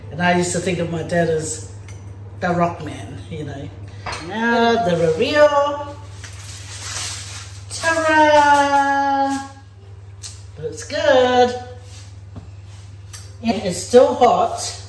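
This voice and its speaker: A woman from Samoa hosts a home cooking vlog and chats playfully with her viewers as she works. She speaks at an unhurried pace. Her voice bounces around a roomy, echoey home kitchen. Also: medium pitch, slight background noise. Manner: chatty and playful, talks straight to viewers as "guys". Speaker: cooking vlogger. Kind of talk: casual cooking demonstration. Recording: echoey room.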